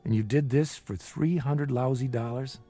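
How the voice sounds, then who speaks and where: An actor playing a world-weary, middle-aged small-time bookie, wisecracking with breezy bravado in a radio crime drama. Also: male voice, mid-range voice, moderate pace, warm tone, soft background music, natural voice. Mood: uneasy, defiant.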